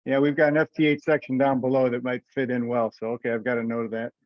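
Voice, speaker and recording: A man leads an informal teleconference in a medium-pitched voice. The sound is dry and close, slightly muffled like conference call audio. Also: level tone, fast, dry recording. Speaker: meeting leader. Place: remote teleconference.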